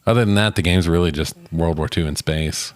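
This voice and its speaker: A man's voice: low voice, a little fast, no echo. A man co-hosting a casual movie discussion, swearing freely and often chuckling. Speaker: podcast co-host reviewing films.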